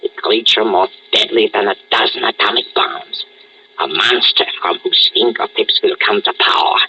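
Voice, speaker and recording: An actor playing a ruthless, scheming German scientist with a husky voice and a commanding, grandiose manner in a 1940s-style radio drama. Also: male voice, lowish voice, steady tone, moderate pace, pushed and bright, a little hiss or hum. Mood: gloating, grandiose. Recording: muffled vintage radio recording.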